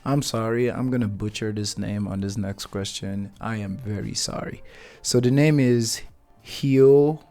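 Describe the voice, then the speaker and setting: Chill young guy from nigeria doing a q&a for his channel, lowish voice, easygoing and rambly. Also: unhurried, faint music behind. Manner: relaxed, chatty, laughs and rambles a bit. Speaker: YouTube channel host, painter. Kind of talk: casual Q&A chat to camera.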